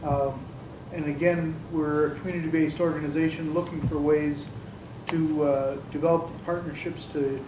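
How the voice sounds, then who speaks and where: A man informally introducing himself and his work to a group, speaking a little haltingly. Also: on the high side, level tone. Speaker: community organization worker, workshop participant. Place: large echoey room, mic at a distance. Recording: echoey room, distant mic, clear background noise.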